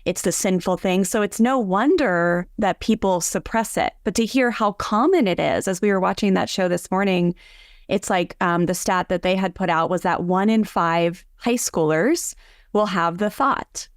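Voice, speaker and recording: A woman's voice: medium pitch, normal speed, no echo. Chatty effusive woman host, dry sound. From Southern California. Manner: warm, effusive, chatty with many fillers. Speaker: podcast host. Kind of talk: casual interview chat.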